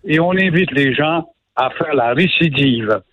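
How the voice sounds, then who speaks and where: A man's voice: a little high, animated, measured pace, slight background noise. A man with a Quebec French accent giving sarcastic, indignant commentary on a radio show. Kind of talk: opinionated radio chat. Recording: phone-line radio audio.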